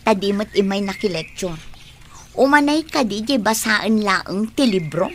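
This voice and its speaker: Skeptical, animated young female student. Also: medium pitch, a little slow, a little hiss or hum. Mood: scornful. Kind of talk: Ilocano radio drama acting.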